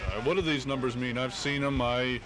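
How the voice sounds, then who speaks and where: A man's voice: mid-range voice, fairly even intonation, brisk, music in the background, poor audio. A man hosting a casual live radio interview, asking questions in an informal, inquisitive way. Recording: home-taped live radio, background bustle.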